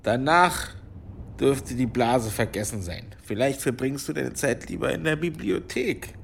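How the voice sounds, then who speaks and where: An actor playing a stern senior monk and healer, dry and admonishing with a creaky voice, in a radio drama. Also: male voice, medium pitch, measured pace, slight background noise.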